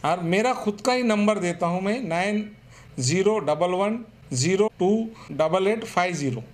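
A man's voice: sits high, a little slow. A man giving an earnest, explanatory statement to the press. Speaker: political party representative. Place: small office room, seated at a table. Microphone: press microphones on the table.

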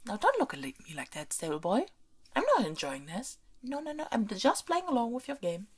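A woman playing a haughty, bossy but playful young princess in an ASMR roleplay, soft and breathy, often slipping into whispers. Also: fairly high pitch, sing-song, brisk, a crowd in the background. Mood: playful, softening. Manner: imperious, teasing, repeats phrases insistently.

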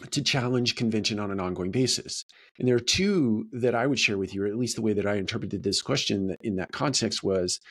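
A man talks to camera as a host, explaining in a reflective, deliberate way with repetition for emphasis. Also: fairly low pitch, slightly rough. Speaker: host of a business video series. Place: small home office, indoors.

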